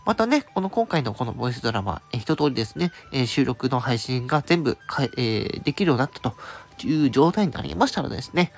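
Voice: mid-range voice, sing-song, fast talker, vocal fry. A host making a chatty, polite announcement, speaking directly to listeners in a casual monologue. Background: background music.

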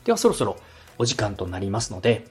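Guy hosting a podcast, really lively pitch, talks quick, polite and encouraging coach type. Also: breathy edge, faint music behind. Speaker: podcast host and adviser. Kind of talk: friendly podcast coaching talk.

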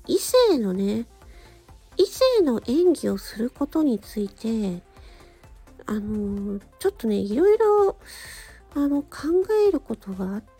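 Female solo radio host, high and mellow, husky-soft edge, gentle polite delivery. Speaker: radio show host and amateur voice actor. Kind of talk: solo radio-style talk with read-out passages.

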